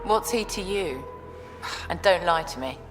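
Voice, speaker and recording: A woman's voice: fairly low pitch, expressive, a little slow. A woman playing a tough, imperious outlaw port boss in a video game, with a sardonic edge. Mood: sardonic, challenging. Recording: long hall reverb, music behind.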